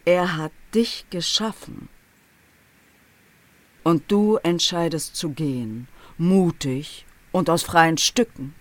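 Radio drama role: gaunt old woman as Death, coldly coaxing, low and commanding, very dry close sound. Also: takes it slowly, no room sound. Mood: goading. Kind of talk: German radio drama acting.

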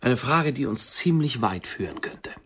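A man's voice: mid-range voice, animated, moderate pace, breathy edge. An actor playing an irritable, argumentative skeptic in a radio play, a man with a sardonic, challenging manner. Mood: dejected. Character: Mark, male friend, irritable, mocking, argumentative skeptic. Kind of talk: radio play acting, dialogue.

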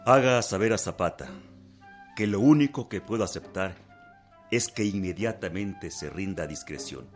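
An actor playing a stern, unyielding president in a radio drama, formal and measured, with a Mexican accent. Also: male voice, deep voice.

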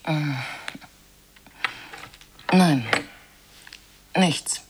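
An actress playing an anxious, earnest crew member of a moon station in a German radio drama, with urgent delivery. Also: female voice, deep voice, very slow. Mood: tense, searching. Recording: clearly noisy, hissy radio play recording.

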